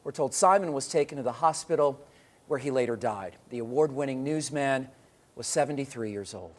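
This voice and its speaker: A man's voice: medium pitch, a little fast, a little hiss or hum. A man with an American accent reading scripted news as an anchor.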